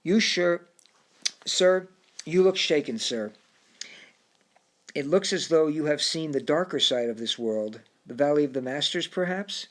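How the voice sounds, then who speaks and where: A formal, unhurried male frog with an English accent, put on by a man reading a storybook. He speaks in a higher, brighter register than the narrator, patient and courteous, and keeps saying sir. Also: lively intonation. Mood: calm, instructive. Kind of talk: audiobook storytelling.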